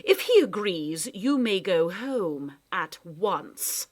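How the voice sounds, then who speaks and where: A woman's voice: fairly low pitch, lively intonation, takes it slowly. A woman voicing a scheming male creature who speaks casually and with mock politeness. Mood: smug. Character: smooth, scheming male creature, cheerfully menacing.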